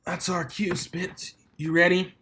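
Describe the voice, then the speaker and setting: A man's voice: high-pitched, level tone, measured pace, pushed and bright, pitched above the performer's own voice. A man voicing an earnest, easygoing male pony athlete with a casual, sincere manner in a story reading. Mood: eager.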